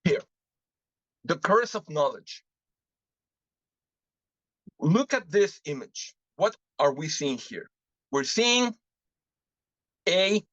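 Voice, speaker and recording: A man from Mexico, presenting a live webinar on interpreting and asking the audience lively rhetorical questions. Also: a little high, no room sound. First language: Spanish. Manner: animated teaching, frequent rhetorical questions, switches into Spanish examples. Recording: muffled lo-fi dry webinar audio.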